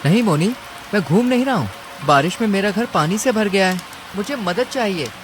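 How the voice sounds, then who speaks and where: Guy doing a worried polite mouse husband for a cartoon, high voice, pleading, rain noise behind. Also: animated, moderate pace. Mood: earnest, worried. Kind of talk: Hindi cartoon story dialogue. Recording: clear rain and ambience noise.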